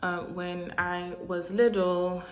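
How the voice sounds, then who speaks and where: A woman whose first language is Haitian Creole, from Hispaniola, delivering a religious message straight to camera in a halting way, with frequent pauses and filler sounds. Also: lowish voice, level tone, faint music behind. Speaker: religious vlogger. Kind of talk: direct-to-camera prophetic message. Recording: echoey room.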